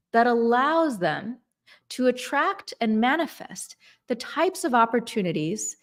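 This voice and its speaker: Woman coach talking slow and emphatic, kinda high voice, motivational vibe. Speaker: manifestation coach. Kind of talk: motivational talk.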